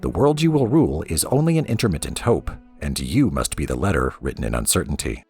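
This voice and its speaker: A man's voice: very deep voice, sing-song, fairly quick, a little mellow, husky, faint music behind, heavily acted. A man reading aloud as a solemn, cryptic god-king who preaches prophecy in a portentous, incantatory way.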